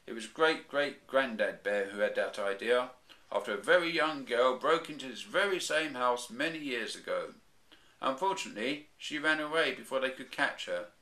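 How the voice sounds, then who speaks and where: A man voicing a calm, matter-of-fact father bear in a comic storybook reading. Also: medium pitch, moderate pace, echoey room, pitched above the performer's own voice, low-quality recording. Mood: dry.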